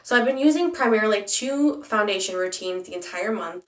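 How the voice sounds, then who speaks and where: A woman's voice: mid-range voice, expressive, brisk, roomy sound, far from the mic, poor audio. A young woman with a stuffy, nasal voice from a cold, chatting casually through a product review with plenty of ums and restarts. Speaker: beauty vlogger.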